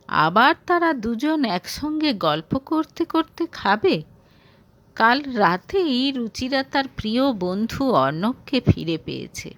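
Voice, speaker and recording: A woman narrating a romantic audio story in an expressive, emotive, dramatic way. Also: on the high side, no room sound.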